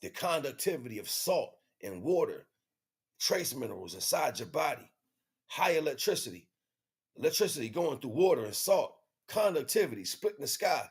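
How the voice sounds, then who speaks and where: A man's voice: mid-range voice, big pitch swings, normal speed, slightly rough. A man hosting an informal spiritual teaching livestream, talking in slang with emphatic, repeated phrases. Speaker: livestream host.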